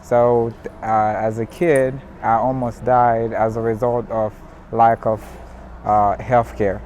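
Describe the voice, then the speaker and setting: A man's voice: mid-range voice, flat delivery, takes it slowly, a little mellow, a little hiss or hum. A man from Haiti, a physician assistant student giving a personal interview testimonial.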